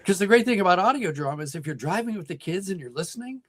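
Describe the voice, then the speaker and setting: A middle-aged American man giving thoughtful, explanatory answers as a guest in an interview, pausing and restarting as he goes. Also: sits high, lively intonation, dry recording, studio quality. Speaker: guest, audio drama writer and producer.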